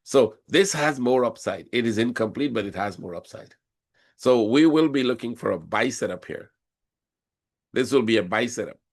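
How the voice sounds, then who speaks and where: A man with a cold and a hoarse voice, explaining chart patterns step by step during a live trading session. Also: medium pitch, expressive, moderate pace. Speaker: forex trading instructor. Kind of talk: live trading room commentary.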